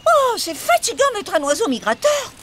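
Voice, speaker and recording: High-pitched grumpy cartoon swallow. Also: female voice, wildly swooping pitch, moderate pace, very noisy, exaggerated voice. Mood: weary grumbling. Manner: complaining, demanding, theatrical. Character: grumpy, fussy female swallow, weary migrating bird. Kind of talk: preschool cartoon acting. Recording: music behind the voice.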